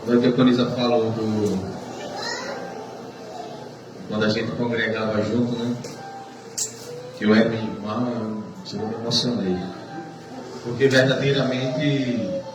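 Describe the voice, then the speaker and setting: Brazilian male church preacher sharing testimony in halting phrases, captured far from the mic with noticeable noise. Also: mid-range voice. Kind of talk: testimony sermon. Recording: echoey room, far mic, noisy.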